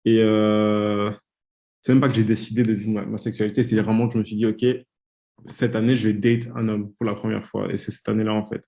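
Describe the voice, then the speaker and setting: A man's voice: on the low side, level tone, fairly quick, gravelly, dark tone. A man in his twenties from Congo, a podcast guest telling his story in a hesitant, casual way with many fillers. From Democratic Republic of the Congo. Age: 20s. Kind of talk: casual interview talk.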